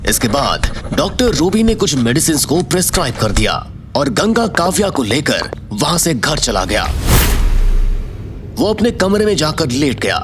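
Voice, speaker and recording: A man's voice: on the high side, big pitch swings, normal speed, over music. A man giving dramatic scripted narration as the narrator of an audio story.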